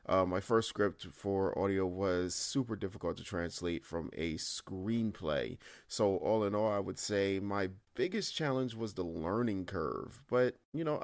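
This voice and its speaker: A man's voice: lowish voice, dry recording, clean studio sound. A man speaking as an interview guest, giving casual answers about his creative work.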